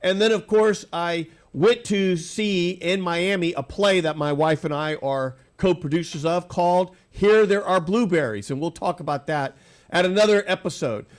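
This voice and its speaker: A man hosting a radio talk show, a little nasal from allergies, with a voice pitched somewhat high for a man. He talks in an upbeat, rambling way, asking long questions in a studio. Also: normal speed. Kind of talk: casual radio interview.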